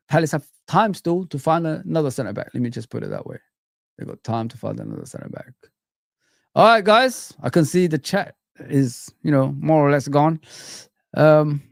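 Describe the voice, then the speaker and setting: Gravelly young man on a relaxed stream. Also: mid-range voice, dry recording, studio quality. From Somalia. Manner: relaxed, rambling, calls viewers bro. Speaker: live stream host. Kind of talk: casual live chat reading comments.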